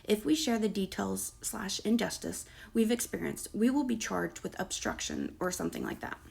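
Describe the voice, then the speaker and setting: A woman's voice: medium pitch, normal speed, a little mellow. A woman losing her voice, reading posts aloud in a casual gossip commentary with sarcastic, mocking asides. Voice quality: creaky, gravelly. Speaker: commentary creator.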